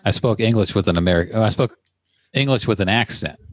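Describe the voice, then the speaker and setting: Low-voiced man telling a story. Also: a little fast, dry recording. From Iran. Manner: laid-back storytelling, swears casually. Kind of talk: casual chat.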